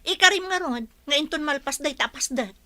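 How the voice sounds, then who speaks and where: A woman's voice: high voice, lively intonation, fairly quick, bright, forceful tone. An actress playing a wounded, vengeful young woman in a radio drama, emotional and insistent. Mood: insistent, upset.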